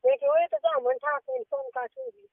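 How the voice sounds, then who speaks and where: A woman's voice: very high-pitched, steady tone, normal speed, vocal fry, no room sound. A young woman with a Rajasthani accent talking informally on a phone call in brief, clipped replies. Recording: tinny band-limited phone call recording.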